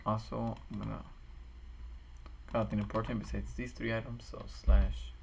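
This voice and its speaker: Low-pitched man with a gravelly, tired voice who rambles while building a game map on a stream. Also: flat delivery, moderate pace, darkish tone, slight background noise. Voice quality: raspy, tired voice. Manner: rambling, thinks aloud with restarts. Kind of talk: casual commentary while building. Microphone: desk microphone.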